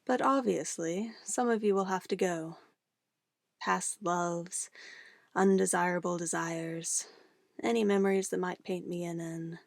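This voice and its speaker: A woman playing a sweetly menacing, possessive witch in an ASMR roleplay, cooing and coaxing condescendingly in a soft voice. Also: lowish voice, gravelly, no echo, clean studio sound. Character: possessive young-sounding witch, sweetly menacing, doting on her familiar.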